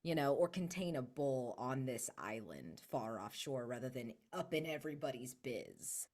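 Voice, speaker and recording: A woman's voice: very deep voice, lively intonation, moderate pace, darkish tone. A woman brainstorming casually as a player in a tabletop roleplaying game, informal and enthusiastic.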